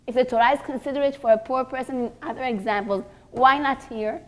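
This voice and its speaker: Female religious teacher, higher voice, deliberate and emphatic lecture delivery with pauses between short phrases. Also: fairly even intonation. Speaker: religious teacher giving a class for women. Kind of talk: religious lecture with rhetorical questions.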